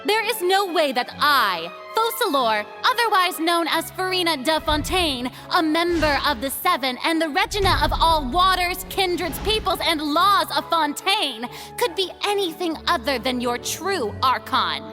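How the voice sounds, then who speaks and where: Haughty goddess for an anime game: grandiose, theatrical, very animated, unhurried delivery. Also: female voice, very high voice, over music. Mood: flustered, indignant. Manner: grandiose, dramatic, declamatory. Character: theatrical, haughty young goddess on trial as defendant.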